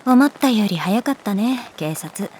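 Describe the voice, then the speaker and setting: A woman voicing a calm, resigned, gently protective art teacher in a Japanese audio drama, speaking in a soft, measured, wistful way. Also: mid-range voice, slight background noise, lightly acted. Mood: calm, tender. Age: adult. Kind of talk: Japanese audio drama acting, intimate dialogue.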